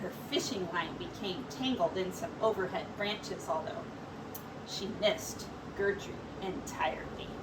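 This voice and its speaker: A woman teacher reading a picture book aloud in slow, expressive storytelling. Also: on the low side, recorded from a distance, background noise.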